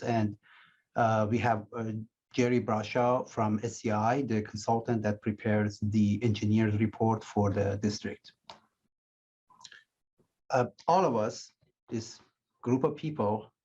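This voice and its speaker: A man with a fairly low voice gives a formal welcome at a public meeting, pausing often with uhs. His voice comes through dull, lo-fi call audio. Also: a little mellow. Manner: halting, many uh fillers. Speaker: city public works manager. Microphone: video call or phone.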